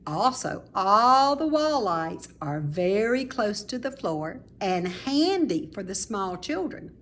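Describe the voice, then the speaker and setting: A woman reading a children's story aloud, with expressive, deliberate phrasing for young listeners. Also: medium pitch, a little hiss or hum. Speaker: storybook reader, teacher.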